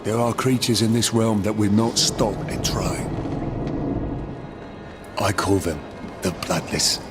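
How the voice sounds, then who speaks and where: An actor playing a proud, bitter undead warrior general in a video game, speaking gravely and deliberately. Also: male voice, on the low side. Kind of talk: video game cutscene acting. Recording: loud music under the voice.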